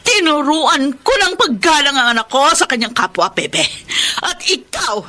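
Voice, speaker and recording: A principled mother with a bright, forceful voice. She is firm and moralizing, in Filipino radio drama style. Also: high-pitched, big pitch swings, moderate pace, heavily acted. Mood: indignant. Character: principled, firm mother standing up for her family.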